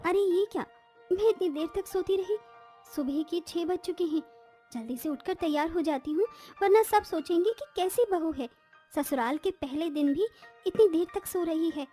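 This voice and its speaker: A woman's voice: very high-pitched, moderate pace, warm tone, soft background music. A woman acting as the women of a cartoon family in a moral story, in a theatrical, exaggerated manner. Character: women of a cartoon family: brides and haughty in-laws. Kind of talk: dramatic Hindi moral-story cartoon dubbing.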